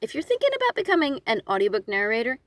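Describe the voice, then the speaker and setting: A woman with a slightly high voice that swings freely up and down, recorded close and dry. She talks informally as a narrator giving advice on her own channel. Her delivery is conversational, expressive and emphatic, with self-deprecating asides. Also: rapid-fire, no echo. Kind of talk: informal instructional talk to camera.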